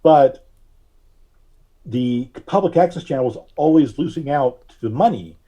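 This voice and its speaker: A man speaking as a podcast guest, recalling things casually in a halting, deliberate way with frequent long hesitations. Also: medium pitch, lively intonation, warm tone. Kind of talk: casual interview recollection.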